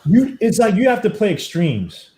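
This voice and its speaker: A man hosting a podcast, arguing his point in a fast, emphatic, animated way. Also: sits high. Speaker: podcast host. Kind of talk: casual sports debate. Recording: echoey room.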